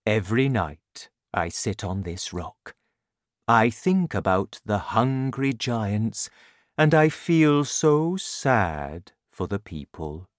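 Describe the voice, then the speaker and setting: A woman voicing a gentle, gloomy male giant in a children's story, talking in a slow, sad, matter-of-fact way. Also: a bass voice, expressive, no echo, studio quality, exaggerated voice. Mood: sad, resigned.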